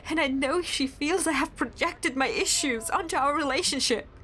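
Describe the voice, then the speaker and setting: Woman acting high-pitched obsessive young woman, volatile, sardonic, radio drama, noisy background. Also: moderate pace, pushed and bright. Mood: hurt, bitter.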